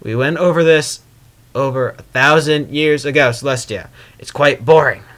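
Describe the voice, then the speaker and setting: A man's voice: medium pitch, normal speed, a bit bright, natural voice. A man voicing a vain, sarcastic, grumbling male spirit of chaos in a theatrical fan fiction reading. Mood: mocking. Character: Discord, male chaos spirit, sardonic, vain, wary prisoner. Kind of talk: dramatic first-person fan fiction reading.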